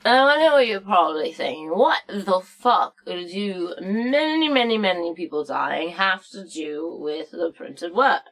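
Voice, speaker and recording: Lady doing a boozy cranky old woman telling stories, slow and halting, rambles a lot, theatrical. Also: fairly low pitch, expressive, fairly bright tone. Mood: wry lecturing. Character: crotchety, boozy, foul-mouthed old woman storyteller. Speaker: story-time host. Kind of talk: comic storybook narration and history lesson.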